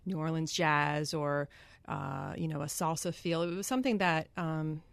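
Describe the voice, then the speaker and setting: Woman, Connecticut, singer guest on local radio; low-ish voice, chatty, dry studio sound. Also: moderate pace, clean tone, dry recording. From Greenwich, Connecticut. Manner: chatty, laughs easily, many ums. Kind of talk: radio interview answers.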